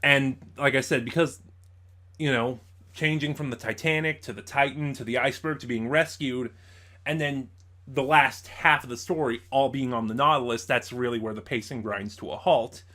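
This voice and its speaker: A man's voice: medium pitch, steady tone, normal speed, pushed and bright. A man reviewing in an informal commentary style, thinking aloud and correcting himself as he goes. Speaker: audio drama reviewer.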